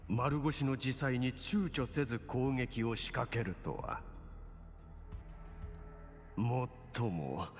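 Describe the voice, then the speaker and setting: Game villain: smug, theatrical cleric with mid-pitched, very animated delivery, composed mockery, big hall echo. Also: male voice. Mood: mocking. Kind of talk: Japanese game voice acting. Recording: long hall reverb, game music behind. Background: game music.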